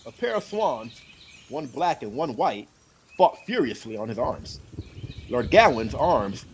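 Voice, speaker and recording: An expressive man narrates a fantasy story with a lively, moving pitch. He reads like a keen amateur, with clear background noise on the recording. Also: unhurried. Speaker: audiobook narrator.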